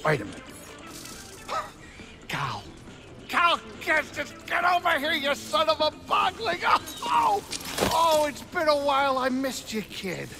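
A man voicing a gruff, warm-hearted alien saloon owner in a video game, excitable, blustering and teasing. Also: extremely high pitch, a little slow, long pauses, exaggerated voice. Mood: delighted, teasing. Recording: music behind the voice.

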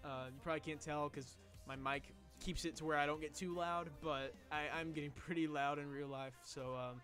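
Young guy kinda hoarse, rambly reaction chat, bit higher voice, faint music behind. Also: fairly even intonation, moderate pace, a bit bright. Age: young adult. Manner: chatty, rambling, with restarts. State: slightly hoarse. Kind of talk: casual reaction commentary. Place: small bedroom. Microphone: headset.

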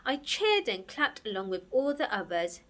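A woman's voice: mid-range voice, sing-song, normal speed, fairly bright tone. A woman voicing a shy, devoted small boy in a children's story, hesitant and eager to please. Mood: admiring, excited.

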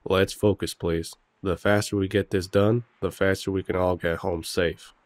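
A worried, protective shifter, played by a man in an audio drama. His voice is very low and recorded dry. He sounds earnest and serious. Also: flat delivery, moderate pace, dry recording, close to an everyday voice. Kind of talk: audio roleplay drama acting.

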